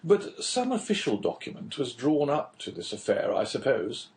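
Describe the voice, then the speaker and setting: Male narrator as reserved Englishman, polite, measured, quietly inquisitive, lifted pitch, novel audiobook. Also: wildly swooping pitch, gravelly. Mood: politely curious. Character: reserved, courteous Englishman, feigning slow comprehension, quietly probing. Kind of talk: audiobook character dialogue.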